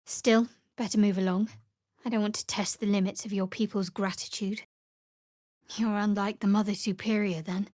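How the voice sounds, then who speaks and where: An actress playing a guarded, sardonic young woman cleric devoted to a dark goddess in a video game, with dry, wry, measured delivery. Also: mid-range voice, level tone, dry recording, close to an everyday voice. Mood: guarded, composed. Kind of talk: video game character voice lines.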